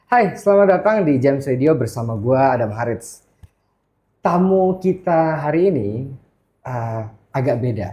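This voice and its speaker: Mellow-voiced Jakarta man hosting a podcast. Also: medium pitch, lively intonation, measured pace, slight background noise. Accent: Jakarta Indonesian. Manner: colloquial, often addresses listener as "bro". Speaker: podcast host. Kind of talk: casual podcast chat.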